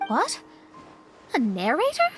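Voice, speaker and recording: A woman's voice: high voice, huge pitch swings, slow pace, full character voice. A woman playing a poised, decisive young woman in a fantasy video game, speaking articulately. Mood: curious. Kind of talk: video game character dialogue. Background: music.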